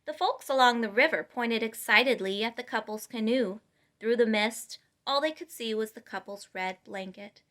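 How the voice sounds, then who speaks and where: A woman reads a children's storybook aloud. Her voice is bright and forward, sitting a little high, and she delivers the narration with clear emphasis and expression. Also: normal speed. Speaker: storytime reader.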